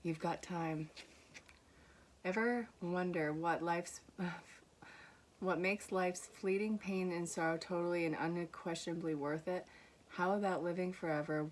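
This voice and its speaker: A woman's voice: low-pitched, slight background noise. A young woman with a blended Vermont and New York accent, giving a casual, reassuring tarot reading and reading card messages aloud to her viewers. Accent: mixed Vermont and New York. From Vermont, USA. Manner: warm, reassuring, gently teasing, reads card texts aloud. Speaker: tarot reader.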